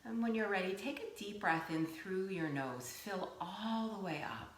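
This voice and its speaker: A woman teaching yoga nidra, giving gentle guided meditation instruction in a soothing, unhurried way with pauses. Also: mid-range voice, animated. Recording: roomy echo, faint background noise.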